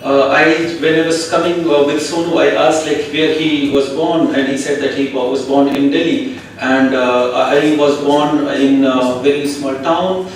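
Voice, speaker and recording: A man's voice: fairly high pitch, fairly even intonation, measured pace, slight background noise. A man interpreting consecutively at a formal meet, hesitant and full of fillers. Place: conference hall. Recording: echoey hall, far mic.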